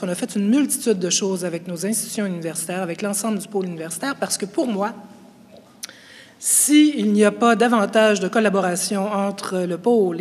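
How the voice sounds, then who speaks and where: Woman candidate, upbeat and earnest, mid-pitch, reverberant hall. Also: darkish tone. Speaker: municipal candidate. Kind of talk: debate opening statement. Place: university hall.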